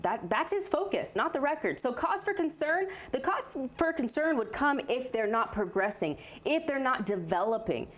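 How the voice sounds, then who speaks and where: A woman's voice: high-pitched, very animated, moderate pace, hardly any pauses, a bit bright. A woman giving sports analysis on a talk show as a reporter, making her points emphatically.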